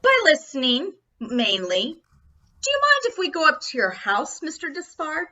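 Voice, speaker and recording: A woman playing a polite, unhurried, probing male police captain in old-time radio drama, with a casual, mild manner. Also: high voice, wildly swooping pitch, pushed and bright, full character voice. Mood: cool, polite. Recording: dull band, faint noise.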